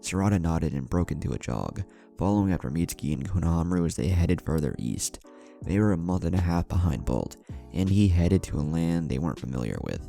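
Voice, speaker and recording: Very deep-voiced man narrating a story. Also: lively intonation, a little fast, gravelly, mellow. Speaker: fan fiction narrator. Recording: music under the voice. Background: background music.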